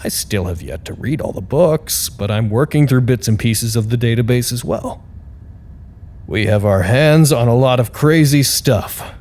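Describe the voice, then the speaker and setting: A man's voice: mid-range voice, expressive, unhurried, darkish tone. A man acting in an audio drama as a bookish researcher, speaking in a precise, wry, wordy way. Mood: reflective. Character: bookish, dryly formal male researcher keeping records. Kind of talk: audio drama acting, journal-like narration and dialogue.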